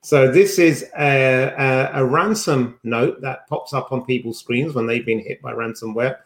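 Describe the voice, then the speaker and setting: A man's voice: mid-range voice, measured pace. Man bantering in an echoey room. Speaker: podcast co-host. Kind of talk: casual teasing banter. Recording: echoey, roomy sound.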